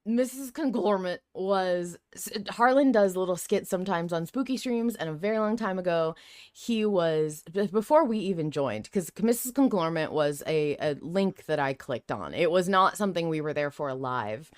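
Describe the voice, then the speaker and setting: A woman podcast host in casual fan discussion, telling things in an animated way with quoted asides. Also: on the low side, normal speed, no room sound, studio quality.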